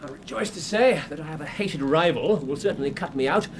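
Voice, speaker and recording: An actor playing a brisk, wry, secretive gentleman detective with a British accent in a radio drama, speaking in a dry, offhand way. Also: a little high, lively intonation, noisy background. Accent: British English.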